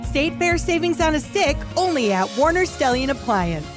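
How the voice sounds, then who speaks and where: A woman delivers a scripted commercial as the face of a business. Her voice is clearly high, and a music bed plays behind her. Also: very animated, moderate pace. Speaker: business spokesperson in an advertisement. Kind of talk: scripted radio ad read.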